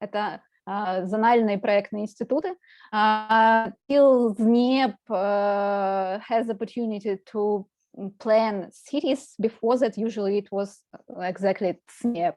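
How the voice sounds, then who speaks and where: A woman researcher answering a question on a video call, explaining in a halting way with fillers. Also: mid-range voice, a little mellow, no room sound. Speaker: panelist researcher. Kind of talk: academic Q&A answer.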